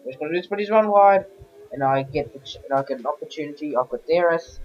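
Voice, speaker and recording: Croaky man commentating a racing game. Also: fairly high pitch, lively intonation, fast talker. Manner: rambling, keeps correcting himself. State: croaky voice from a sore throat. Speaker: gameplay commentator. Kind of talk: casual commentary over racing game footage. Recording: dull lo-fi voice-over with game music behind.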